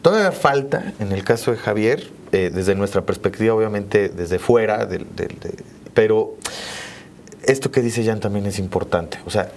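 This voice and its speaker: A man's voice: fairly low pitch, moderate pace, darkish tone, a little hiss or hum. A man with a Mexican accent hosting a conversational panel interview in a studio, repeating words as he forms his questions. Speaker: host.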